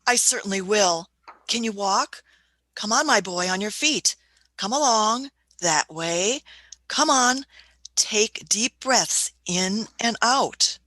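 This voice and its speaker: A woman playing a fatherly old male doctor in a radio play, brisk and reassuring, urging in short repeated phrases. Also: medium pitch, animated, bright, forceful tone, no room sound, heavily acted.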